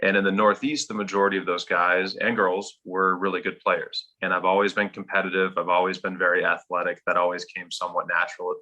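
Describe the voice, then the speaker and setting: A man's voice: deep voice, little pitch movement, a little fast, few pauses, pushed and bright, muffled recording. A man in his thirties from Minnesota, with a faint Minnesotan accent, telling his story as a golf pro in a casual interview. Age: 30s. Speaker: golf professional guest.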